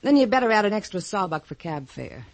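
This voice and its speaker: An actress playing a brash, streetwise young New York moll, slangy and curt, in an old-time radio comedy. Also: female voice, medium pitch, very animated, fast, dry recording. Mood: haggling, brash. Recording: vintage radio drama with music.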